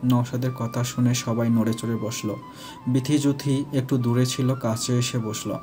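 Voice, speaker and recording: A man's voice: medium pitch, little pitch movement, measured pace. A man narrating a scripted romantic family story as the narrator. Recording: faint music behind.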